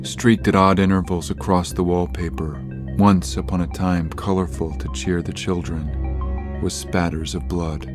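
A grave, low-pitched male narrator tells a horror tale for an audio drama. His delivery is measured and ominous, with music playing clearly behind him. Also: flat delivery.

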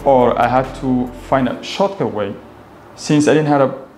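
A man's voice: medium pitch, normal speed, darkish tone. Young Somali man in an echoey room. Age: young adult. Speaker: interview guest, entrepreneur. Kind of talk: casual personal storytelling in an interview. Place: indoor office lounge with glass walls. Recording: echoey room, faint background noise.

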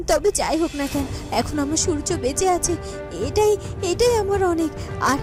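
An emotional young fairytale heroine in love, played by a woman, with an extremely high voice. She speaks earnestly and melodramatically over clearly audible music. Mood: wistful, then joyful. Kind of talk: melodramatic Bengali cartoon voice acting. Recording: music behind the voice.

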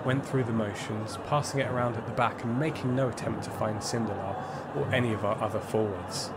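An actor playing a reflective football fan, narrating his memories of the Nazi era in an audio play in a measured, wry way. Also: fairly low pitch, heavy background noise, close to an everyday voice. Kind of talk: first-person dramatic storytelling in an audio play.

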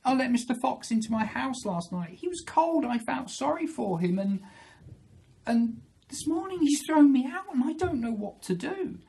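A man voicing a soft-hearted, put-upon female rabbit, plaintive and complaining, in a children's story. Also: very high voice, big pitch swings, normal speed, creaky, voice pushed up, full character voice. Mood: tearful complaint.

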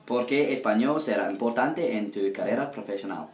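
A young man reading set interview questions in a plain, even way, recorded in an echoey room. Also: medium pitch, normal speed. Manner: reads set questions, brief thanks. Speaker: student interviewer. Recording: echoey room, faint noise.

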